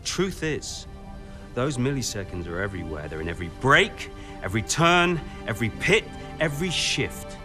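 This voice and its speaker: An actor playing an earnest, driven racing team boss in a naturalistic game cutscene, informal and emphatic, sometimes halting. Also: male voice, medium pitch, very animated, sharp tone, soft background music. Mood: rousing, determined.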